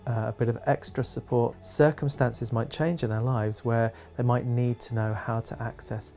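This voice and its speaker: A man's voice: mid-range voice, fairly even intonation, moderate pace, a little mellow. A man who works with youth groups, describing his work as if answering an interview. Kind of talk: interview-style account of work for a promotional film. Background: background music.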